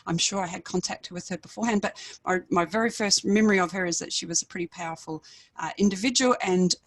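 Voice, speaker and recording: An Australian woman with a croaky, slightly hoarse voice speaks over a video call, sitting a little low in pitch. She rambles through a panel introduction, full of fillers and restarts. The sound is dry and close. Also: fast talker, dry recording. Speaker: panelist from an ePortfolio group. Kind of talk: webinar introduction.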